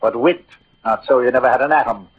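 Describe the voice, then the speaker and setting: A man's voice: mid-range voice, quick pace, breathy edge, natural voice. An actor playing a proud, combative Gascon swordsman, declaiming with mocking wit in a 1940s radio theatre style. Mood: flamboyant, taunting. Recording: muffled tinny old radio recording.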